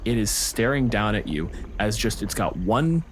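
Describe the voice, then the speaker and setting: A man's voice: on the low side, sing-song, moderate pace, background noise. A man running a tabletop roleplaying game as game master, narrating in a casual, dryly wry way.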